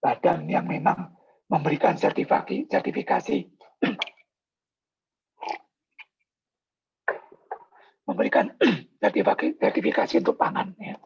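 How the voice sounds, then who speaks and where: A hoarse man giving a polite, explanatory sales presentation on a video call, with many filler pauses. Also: high voice. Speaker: product presenter. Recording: dull lo-fi video call audio.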